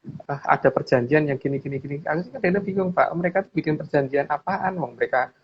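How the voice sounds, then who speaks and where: A man's voice: on the high side, quick pace. A middle-aged man explains his opinions in casual discussion, often repeating phrases and seeking agreement. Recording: dull lo-fi audio, clear background noise.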